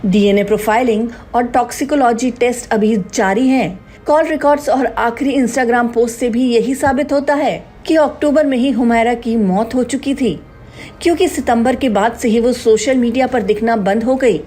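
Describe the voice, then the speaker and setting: A woman's voice: on the high side, moderate pace, warm tone, breathy edge. A woman reading a scripted, dramatic news narration. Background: background music.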